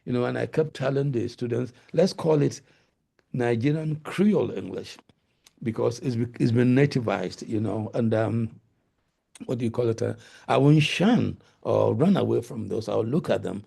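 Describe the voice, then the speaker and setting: A middle-aged Ghanaian-accented man answers questions on a video call, his voice deeply gravelly and husky. He speaks hesitantly, with many fillers and restarts. The tone is dark and mellow. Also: moderate pace. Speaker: guest lecturer.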